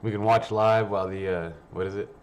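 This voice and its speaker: A man's voice: fairly low pitch, fairly even intonation, a little fast, a little mellow. A man hosting a livestream, rambling casually and reading news aloud in a blunt, sweary, offhand way. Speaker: livestream host.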